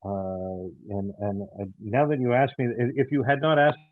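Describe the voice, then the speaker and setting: A man speaking casually as an interview guest, in a hesitant, halting and earnest way. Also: mid-range voice, dry recording, phone-quality sound. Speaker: audio theater show creator, interview guest.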